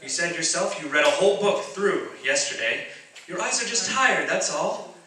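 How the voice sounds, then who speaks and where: Man acting soothing doctor, bright echoing voice. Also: a little high, lively intonation, normal speed. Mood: reassuring. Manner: soothing, coaxing. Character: patient, kindly, reassuring family doctor. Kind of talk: radio play acting. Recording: echoey hall from far off, faint noise.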